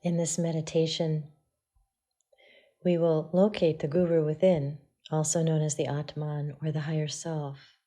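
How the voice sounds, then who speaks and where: A woman teaching yoga meditation, guiding listeners in a soft, hushed delivery with pauses. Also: low-pitched, little pitch movement. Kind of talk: guided meditation.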